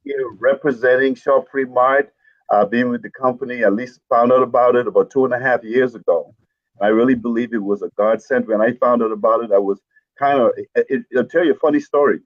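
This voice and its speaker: A middle-aged man from the Bahamas talks with upbeat energy and laughs easily. His pitch jumps up when he gets excited. The sound is muffled and dry. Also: brisk, dry recording. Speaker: network marketing coach. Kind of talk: casual storytelling on a live stream.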